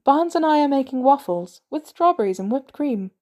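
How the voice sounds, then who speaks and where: Woman playing a gentle, kindly, mellow woman. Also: high-pitched, expressive, normal speed, voice pushed up. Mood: gentle, welcoming. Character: kindly, soft-hearted woman, hospitable adult.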